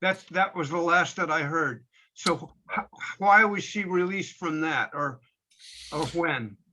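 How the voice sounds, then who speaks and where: A man asking spontaneous questions over a dull video call, halting and restarting his words. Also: on the high side, pushed and bright, a little hiss or hum. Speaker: audience member asking questions.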